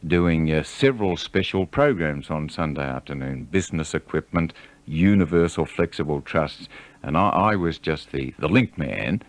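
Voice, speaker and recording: A man's voice: a bass voice, animated, normal speed, dry recording. A man, a radio announcer, reminiscing conversationally in an interview.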